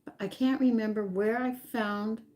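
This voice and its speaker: A woman's voice: medium pitch, steady tone, normal speed, mellow. A woman hosting a home cooking live stream, chatting casually with viewers and rambling aloud as she searches her memory. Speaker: home cooking channel host.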